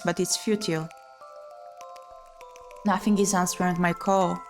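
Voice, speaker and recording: Female game streamer, mid-pitched, theatrical story narration over music. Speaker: streamer playing and voicing a visual novel. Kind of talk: dramatic read-aloud narration.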